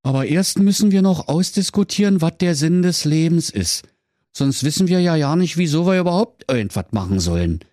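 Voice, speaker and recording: A man's voice: a little high, expressive, a little fast, mellow, dry recording, studio quality, pitched above the performer's own voice, lightly acted. A man doing a listless, starving villager. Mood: weary. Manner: listless, droning. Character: weary, starving villager, slow-talking.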